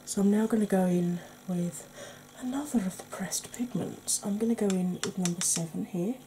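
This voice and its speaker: A middle-aged woman with a Welsh lilt talks close to the camera in a gentle, often whispered ASMR style. Her voice is mellow and of middling pitch, chatty and a little sarcastic, with a faint hiss of room noise under it. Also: normal speed, rough recording. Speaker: beauty YouTuber. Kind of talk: ASMR makeup tutorial. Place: indoors at home, face in close-up.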